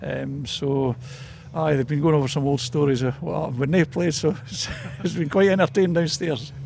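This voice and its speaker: A man's voice: fairly high pitch, fairly even intonation, moderate pace, vocal fry, darkish tone, a little hiss or hum. A man with a Scottish Borders accent giving a casual, hesitant, rambling interview about his club.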